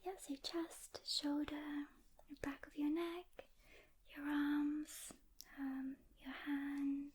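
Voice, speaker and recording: A woman speaking in a hushed, gentle ASMR whisper, unhurried, playing a calm demon hunter who talks directly to the listener. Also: high-pitched, a little hiss or hum. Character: calm experienced female demon hunter briefing a newcomer. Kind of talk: soft-spoken whispered ASMR roleplay.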